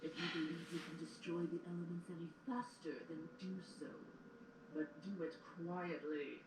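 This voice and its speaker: A commanding dark sorcerer villain acted by a man speaks formally, cold and impatient. The audio drama voice is distant and echoing, with clear noise. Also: a little high, flat delivery, lightly acted. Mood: irritated. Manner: formal, imperious, deliberate. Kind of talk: fan audio drama acting. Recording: echoey, distant, noisy playback.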